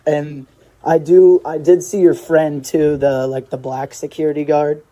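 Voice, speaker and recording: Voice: medium pitch, level tone, unhurried, warm tone. A caller chatting casually on a recorded phone call. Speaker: caller talking to an inmate.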